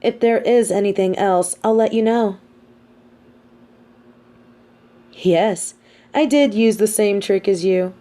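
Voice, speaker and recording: A woman's voice: medium pitch, dark tone, close to an everyday voice. A woman playing a smug, sardonic but sympathetic female supervillain in an audio roleplay, speaking articulately. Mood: commanding, sly. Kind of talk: dramatic audio roleplay monologue.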